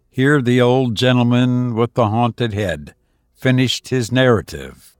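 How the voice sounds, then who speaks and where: Guy reading a classic story audiobook, slow and solemn, mid pitch, very dry recording. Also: dry recording. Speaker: audiobook reader. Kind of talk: scripted literary narration.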